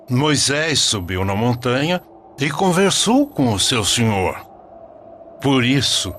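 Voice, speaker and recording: Slow, expressive male story narrator. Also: mid-range voice, slight background noise. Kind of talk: scripted religious story narration.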